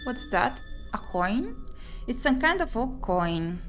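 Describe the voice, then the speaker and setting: A woman's voice: a little high, very animated, slow pace. Woman streaming a game over music. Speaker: streamer playing a visual novel. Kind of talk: game playthrough, reading dialogue aloud with comments.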